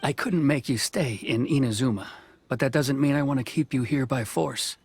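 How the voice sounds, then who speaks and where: An actor voicing a stern young male official in a video game, speaking in a formal, measured, reserved way. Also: mid-range voice, slightly rough. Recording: game soundtrack music faint behind.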